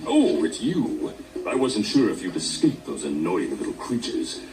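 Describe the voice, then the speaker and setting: A man voicing a gentle elder dragon giving gameplay hints. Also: sits low, huge pitch swings, a little slow, exaggerated voice. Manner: gentle, advising. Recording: echoey distant game audio with music.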